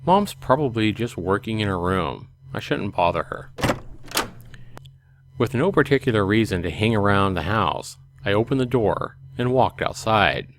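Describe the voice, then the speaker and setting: A man's voice: fairly low pitch, animated, normal speed, a little hiss or hum. A man hosting a let's play, reading a visual novel aloud expressively with casual commentary.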